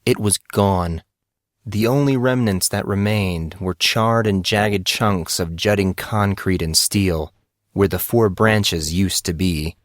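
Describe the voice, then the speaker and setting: Low-voiced male story narrator. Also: slow pace, no echo, studio quality. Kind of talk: scripted audiobook narration.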